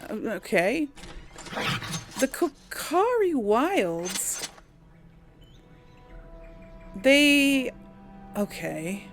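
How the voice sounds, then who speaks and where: A woman's voice: a little high, very animated, extremely slow, long pauses, faint music behind. A woman hosting a gaming channel, giving casual role-play commentary as she plays.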